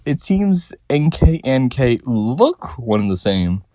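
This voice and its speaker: A man's voice: mid-range voice, big pitch swings, slow, warm tone, slight background noise. A man giving scripted commentary as the host of a theory video.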